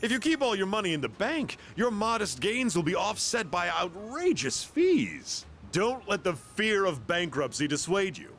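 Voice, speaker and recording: A man's voice: sits high, big pitch swings, normal speed, fairly bright tone, a little hiss or hum, exaggerated voice. An actor playing a boastful male company owner who brags and chuckles in a pushy, salesy way. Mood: smug. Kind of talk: video game voice acting.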